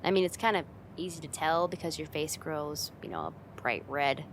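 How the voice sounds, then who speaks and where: A woman's voice: low voice, moderate pace, slight background noise, natural voice. A woman playing a confident, teasing young woman, a friend's older sister, in a casual ASMR roleplay spoken straight to the listener. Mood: soft, affectionate. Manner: playful, teasing, casual, giggly, lightly exasperated.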